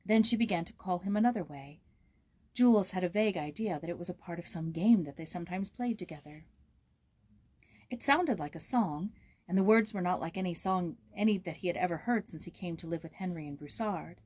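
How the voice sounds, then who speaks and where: A woman narrating a storybook aloud in a gentle, measured, expressive way. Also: on the low side, dry recording.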